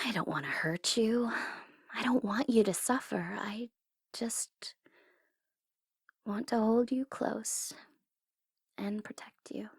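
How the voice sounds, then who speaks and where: Female supervillain for ASMR audio drama, played by a woman: teasing, theatrical, easily flustered tsundere, measured pace, very dry intimate recording. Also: on the high side, husky, no echo, full character voice. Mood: tender, confessing.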